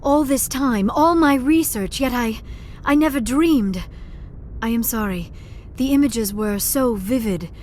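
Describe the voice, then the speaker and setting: Actress as a gentle young alien scientist lady, very proper and earnest, game dialogue, faint noise behind. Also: fairly high pitch, a little slow, natural voice. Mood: awed. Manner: formal, precise speech without contractions. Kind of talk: video game dialogue acting.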